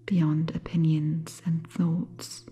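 A woman guiding a sleep meditation in a soothing, gentle, unhurried way, with a soft, breathy, half-whispered voice. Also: deep voice, little pitch movement, soft background music. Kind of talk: guided sleep hypnosis meditation, scripted.

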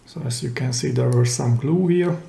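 A man giving a hands-on, step-by-step repair tutorial in simple, careful phrases with pauses mid-sentence, recorded indoors at a workbench. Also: medium pitch, vocal fry, mellow, a little hiss or hum. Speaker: DIY repair channel host. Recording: roomy, echoey indoor sound.

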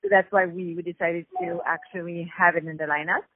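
A woman from Paris with a French accent, a festival founder explaining things in a measured way over the phone. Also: on the low side, steady tone, no echo. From Paris, France. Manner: measured, explanatory, many fillers. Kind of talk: phone interview. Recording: tinny phone line, dry.

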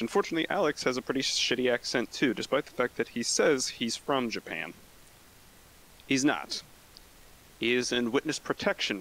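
A man's voice: lowish voice, a bit bright, poor audio. A man playing a tabletop role-playing game, laying out his character's backstory with a wry, self-mocking tone. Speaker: player.

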